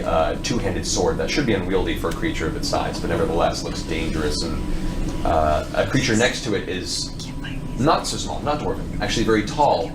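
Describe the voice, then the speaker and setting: A man acting as game master, improvising descriptive narration for a tabletop fantasy game with deliberate phrasing and dramatic pauses. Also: low-pitched, noisy background. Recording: roomy, distant mics with music underneath. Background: background music.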